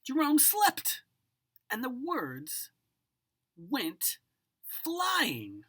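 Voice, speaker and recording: A woman giving an expressive children's picture book read-aloud, savoring words dramatically and speaking directly to the listener. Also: high-pitched, husky. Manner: savors single words with drawn-out, dramatic delivery and occasional whispers. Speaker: storybook reader.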